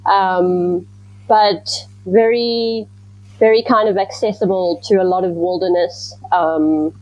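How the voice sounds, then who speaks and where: A woman from Zimbabwe, a researcher answering interview questions in a thoughtful, measured way with frequent ums. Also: lowish voice, fairly even intonation, darkish tone, no echo, muffled recording. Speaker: guest researcher.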